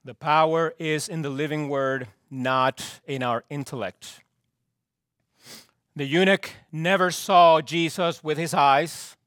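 A male pastor preaching slowly and deliberately, his voice fraying and hoarse from use. He sits a touch higher than most men and repeats his points for weight, letting each line fall away. The sound is dry and close. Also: fairly even intonation, fairly bright tone, no room sound. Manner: slow, emphatic, repeats key phrases, drops pitch at line ends. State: losing his voice, clearing throat. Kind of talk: sermon.

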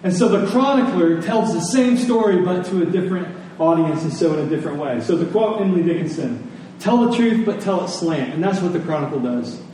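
High-voiced man preaching in an echoey room. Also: lively intonation, fairly quick, warm tone. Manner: conversational teaching with repetition and rhetorical questions. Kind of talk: sermon to a Sunday congregation. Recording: echoey room, distant mic, faint noise.

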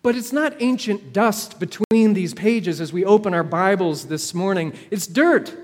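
High-voiced male preacher in a hall. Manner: measured, dramatic pauses and repeated phrases. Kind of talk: sermon with storytelling. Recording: long hall reverb.

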